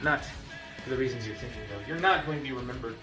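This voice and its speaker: A man cutting a trash-talking wrestling promo, taunting his opponent with loud bursts and low sneers. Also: fast talker. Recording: echoey room, far from mic, music behind.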